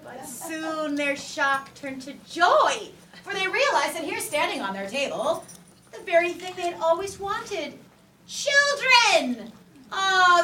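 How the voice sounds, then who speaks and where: A woman telling a story to young children in a playful, lively way with drawn-out emphasis, in a classroom. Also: high voice. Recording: echoey room from far off.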